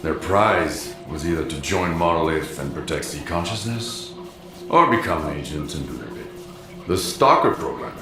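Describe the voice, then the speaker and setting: An actor playing a stern, world-weary outcast in a video game, terse and accusing. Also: male voice, a bass voice, expressive, moderate pace, roomy sound, over music. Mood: grim, explaining. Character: grim, world-weary male outcast of a forbidden zone. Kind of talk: video game cutscene acting.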